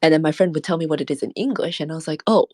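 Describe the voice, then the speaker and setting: Woman streamer, low register, relaxed rambling chat with frequent laughs, dry booth sound. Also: racing, no room sound. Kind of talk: casual late-night stream chat.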